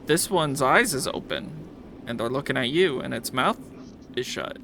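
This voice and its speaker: A man's voice: mid-range voice, lively intonation, moderate pace, background noise. A man casually narrating a tabletop game as game master, with a joking, self-deprecating manner.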